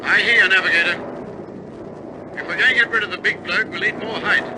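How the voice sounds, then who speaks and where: Actor as high-pitched bomber captain, engines roaring. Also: male voice, fairly quick, natural voice. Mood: tense, determined. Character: bomber captain steering a crippled aircraft, steady under strain. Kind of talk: 1950s radio drama acting. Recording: old radio recording, loud aircraft engine noise.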